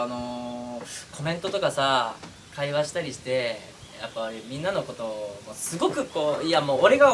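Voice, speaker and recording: A man speaking as a streamer and voice actor, chatting casually and earnestly to his fans in an intimate, pausing way. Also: mid-range voice, fairly bright tone. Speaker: voice actor and streamer addressing listeners. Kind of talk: casual, heartfelt chat to fans. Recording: distant mic, clearly noisy background.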